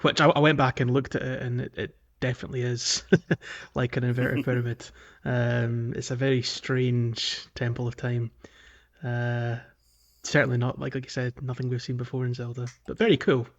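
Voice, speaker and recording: A man co-hosting a video game podcast in casual chat, talking in a wordy, halting way and repeating words. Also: medium pitch, flat delivery, muffled recording. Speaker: podcast co-host.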